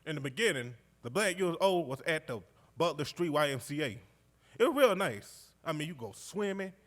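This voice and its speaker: An actor playing a jovial, warmhearted World War II veteran from Georgia with a Southern accent, spinning his memories in a folksy, exuberant way on stage. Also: a little high, big pitch swings, normal speed. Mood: joyful, amused. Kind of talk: live stage storytelling monologue, conversational. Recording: live stage recording in recreation hall.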